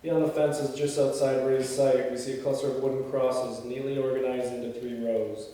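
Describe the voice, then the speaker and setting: A man's voice: medium pitch, flat delivery, normal speed, warm tone. A man reading screenplay stage directions aloud at a table read, with informal asides. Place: indoor echoey room. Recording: very echoey room, distant mic, faint noise.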